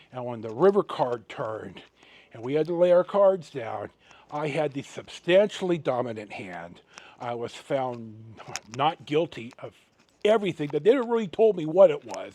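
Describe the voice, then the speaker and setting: A middle-aged man telling a personal story casually to his viewers as a vlog host, rambling and halting with dramatic pauses and emphasis. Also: a little high, darkish tone, dry recording.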